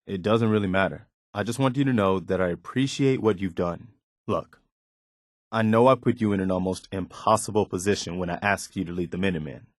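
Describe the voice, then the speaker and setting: An actor playing an earnest, loyal militia lieutenant in a video game, sincere, respectful and soldierly. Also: male voice, low-pitched, a little fast, no echo, clean studio sound, natural voice. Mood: heartfelt. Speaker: companion character in a video game.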